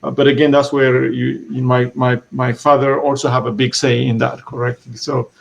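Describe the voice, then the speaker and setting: A man from Eritrea recounts his family's past in an interview. His voice has a rough, gravelly edge at a middling pitch. He speaks haltingly, often restarting and repeating words. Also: level tone. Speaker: author being interviewed. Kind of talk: reflective storytelling.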